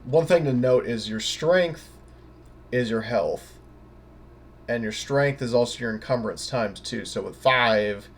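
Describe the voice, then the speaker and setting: A man giving a casual, unscripted tutorial in a hesitant, halting way, thinking aloud as he goes. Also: mid-range voice, a little hiss or hum. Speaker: tutorial presenter.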